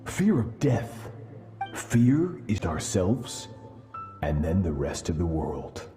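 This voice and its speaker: A man voicing a harsh sword master who talks in a slow, grave and menacing way. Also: deep voice, big pitch swings, heavily acted. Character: stern harsh male sword master, cold and merciless. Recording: echoey game audio over music. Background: game music.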